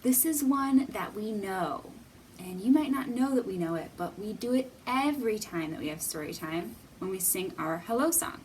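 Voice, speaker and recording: A woman hosting a children's storytime, speaking in simple, deliberate, teacherly phrases with pauses and questions for young viewers. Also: medium pitch, expressive, a little mellow, recorded from a distance, a little hiss or hum, low-quality recording. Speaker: children's library storytime host.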